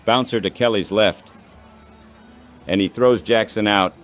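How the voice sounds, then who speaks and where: A man calling baseball play-by-play as an announcer, in short, measured phrases. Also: lowish voice, steady tone, soft background music.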